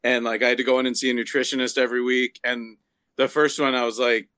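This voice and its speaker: A man's voice: medium pitch, flat delivery, quick pace, pushed and bright, no echo. Easygoing man in his mid-thirties, dry sound. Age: 30s. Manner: easygoing, hesitant restarts. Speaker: zookeeper guest. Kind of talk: casual interview chat.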